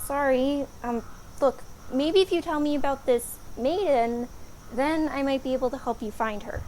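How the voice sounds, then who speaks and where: A woman's voice: sits high, normal speed, a little mellow, a little hiss or hum, natural voice, low-quality recording. A woman playing a blunt, exasperated young fairytale maiden in a comedic audio drama, with a casual, modern, sarcastic manner. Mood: awkward, apologetic. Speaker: voice actor.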